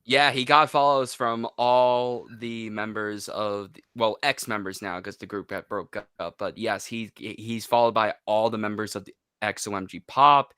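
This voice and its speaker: A man's voice: on the low side, fairly even intonation, normal speed, few pauses, sharp tone, clear, resonant tone, no echo, clean studio sound. An American man speaking as a podcast guest, telling anecdotes in a casual, conversational way. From United States. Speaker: podcast guest, online video creator.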